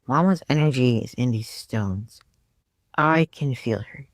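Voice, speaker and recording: A woman voicing a gentle, wistful young woman in an audiobook drama, soft and expressive. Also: a bass voice, a little slow, dry recording, studio quality, exaggerated voice.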